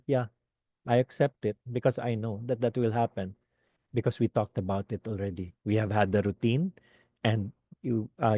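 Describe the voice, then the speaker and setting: A man with a Filipino accent and a low voice, giving a webinar lecture to teachers and often checking in with "okay?". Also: dry recording. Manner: animated, repeats "okay?" often. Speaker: teacher trainer.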